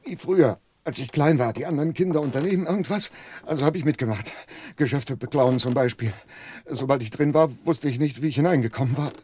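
An actor playing a nervous, reluctant accomplice in a crime radio drama, anxious and unsure of himself. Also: male voice, mid-range voice, fast, a little mellow, slight background noise, lightly acted. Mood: uneasy, confessional.

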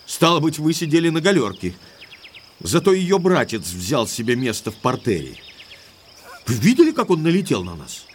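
An actor playing an indignant, lovestruck young English baronet in an audio drama, speaking emphatically like an exasperated gentleman. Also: fairly high pitch, wildly swooping pitch, normal speed, a little hiss or hum. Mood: indignant, sardonic.

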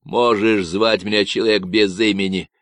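A man's voice: fairly low pitch, flat delivery, a bit bright, no echo, close to an everyday voice. Man putting on a nasal Texan drawler. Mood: mocking. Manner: slow, mocking slang talk.

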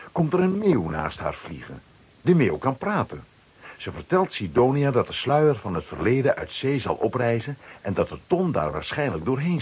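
A man narrating a scripted children's radio play as its storyteller, with a husky voice. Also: mid-range voice, darkish tone, muffled recording.

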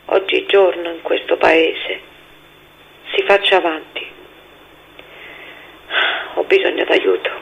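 Woman reading as a gravelly, pleading young mother. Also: on the low side, a little hiss or hum, rough recording. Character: desperate young mother pleading for legal help. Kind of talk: solemn reading of a written appeal.

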